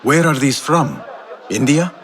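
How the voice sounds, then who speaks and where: An actor playing a composed, friendly but guarded adventurer in a video game, with a measured, wry manner. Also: male voice, mid-range voice, lively intonation, a bit bright, some chatter behind.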